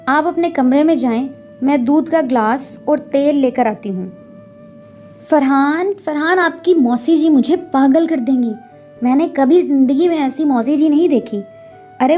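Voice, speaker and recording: An earnest young daughter-in-law from a family storybook drama, played by a woman. Her voice is high and soft-edged, expressive, set over music. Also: lightly acted. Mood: dutiful. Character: polite, eager young daughter-in-law, growing exasperated.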